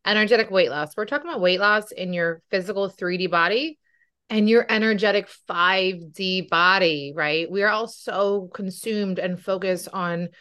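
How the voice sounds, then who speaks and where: A woman's voice: mid-range voice, normal speed, fairly bright tone, clean tone. A woman hosting a podcast as a weight loss coach, talking with enthusiasm and often tagging her points with "right?". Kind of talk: podcast interview.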